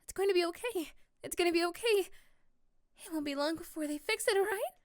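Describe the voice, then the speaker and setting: Woman acting very high-pitched sweet anxious office girl. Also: a little fast, no echo, studio quality. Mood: panicked. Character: anxious claustrophobic young female office worker, crush, sweet. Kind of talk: ASMR roleplay audio drama acting.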